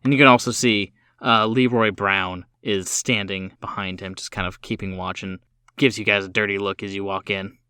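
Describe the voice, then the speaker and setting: A man's voice: on the low side, fairly even intonation, moderate pace, bright, forceful tone, clean tone, dry recording, clean studio sound. A man improvising narration as game master of a tabletop game, feeling his way through scenes in fragments. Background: music.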